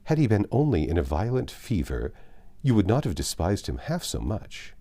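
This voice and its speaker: A man voicing a composed, sensible young woman from a period novel, reasoning in measured, formal speech. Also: on the low side, wildly swooping pitch, mellow, voice pushed up, full character voice. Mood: calm objection.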